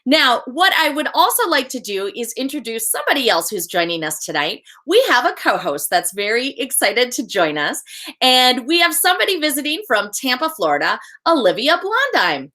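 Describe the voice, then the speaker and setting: A woman's voice: sits high, very animated, normal speed, pushed and bright. A woman hosting a live online session, upbeat and encouraging. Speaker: host.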